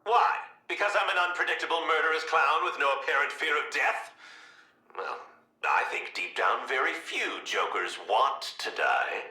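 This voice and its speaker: Murderous wisecracking clown, video game villain, wildly swooping delivery, piercingly sharp tone. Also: male voice, a little high, big pitch swings, normal speed, roomy sound, heavily acted. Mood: jokey. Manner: theatrical, jokey, wisecracking. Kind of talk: animated video game voice acting.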